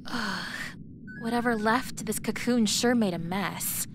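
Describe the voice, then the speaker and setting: A woman's voice: a little high, takes it slowly, noisy background. A woman voicing a wary, matter-of-fact young female game protagonist who mutters to herself. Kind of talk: fan dub game voice acting.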